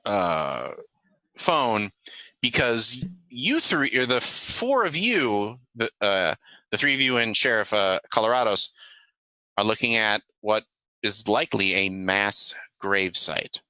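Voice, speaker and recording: A man running a tabletop role-playing game, improvising narration and haltingly thinking aloud as he goes. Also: lowish voice, sing-song, pushed and bright, no echo, poor audio. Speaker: game master.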